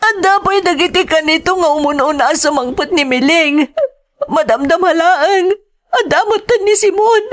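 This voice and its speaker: A woman's voice: extremely high pitch, normal speed. A woman playing an anguished, bitter betrayed wife, tearful and emotional, in a melodramatic audio drama. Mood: tearful, accusing.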